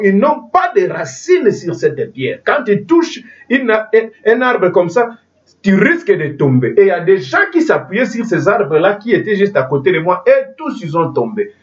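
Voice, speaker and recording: A man's voice: high-pitched, sing-song, a little slow. A man preaching a sermon in French, which is not his first language, emphatic, often restarting phrases and asking his listeners if they understand. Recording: dull lo-fi sound from a distance.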